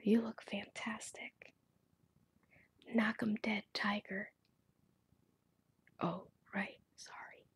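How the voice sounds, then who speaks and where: A woman speaking in a breathy, gentle, unhurried whisper as a salon pedicurist in an ASMR roleplay, pampering a client. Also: medium pitch, flat delivery, no room sound. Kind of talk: soft-spoken ASMR roleplay.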